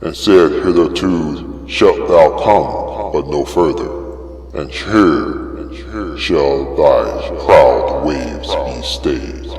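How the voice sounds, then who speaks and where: A man's voice: a bass voice, expressive, very slow, darkish tone, heavily acted. A man voicing a stern, majestic God who puts solemn, challenging questions in a declamatory dramatic scripture reading. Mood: majestic, commanding. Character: God speaking from a whirlwind, stern, majestic, commanding. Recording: long cavernous reverb over music.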